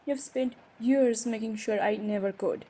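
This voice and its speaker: A woman playing a hurt, defiant young college-age stepsister in an improvised audio roleplay, speaking emotionally and haltingly with sighs and pauses. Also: fairly high pitch, dark tone. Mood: hurt, bitter. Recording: faint background noise.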